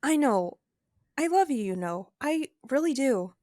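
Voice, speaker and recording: Actress doing a young wife w a high voice, emotional, sci-fi audio drama, really dry recording. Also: female voice, very animated, a little slow, a little mellow, no room sound. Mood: uneasy, affectionate.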